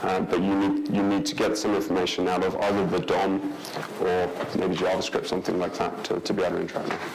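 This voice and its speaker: A man in his thirties answering audience questions at a conference talk, pausing with many ums and restarts. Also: sits low, completely flat delivery. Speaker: conference speaker. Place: large lecture hall. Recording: noisy hall recording.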